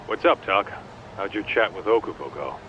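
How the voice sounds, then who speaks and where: A man's voice: deep voice, expressive, moderate pace. An actor playing a brash, easygoing tough guy in a video game, heard through a phone call filter. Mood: breezy. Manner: cocky, slangy. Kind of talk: English video game voice acting. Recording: muffled phone call, noisy street ambience.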